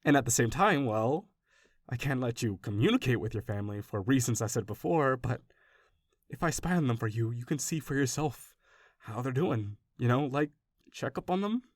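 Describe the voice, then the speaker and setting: A man's voice: mid-range voice, animated, moderate pace, no room sound, close to an everyday voice. A man playing an obsessive, doting kidnapper in a roleplay audio, speaking to the listener with rambling, anxious affection. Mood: doting, earnest. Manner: soft-hearted, rambling, hesitant, gushing reassurance. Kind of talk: intimate roleplay audio acting addressed to the listener.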